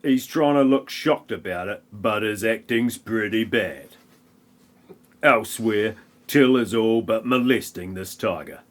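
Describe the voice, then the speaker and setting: A man's voice: on the low side, expressive, a little slow. A man narrating a comic parody audio play in a wry, mocking manner.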